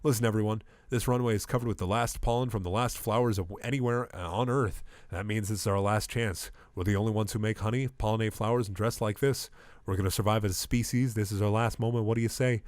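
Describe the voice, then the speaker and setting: Excitable wisecracking bee hero played by a man, dry sound. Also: lowish voice, quick pace, no echo, lightly acted. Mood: rousing. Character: small young male bee, plucky, neurotic, wisecracking hero. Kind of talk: animated film voice acting.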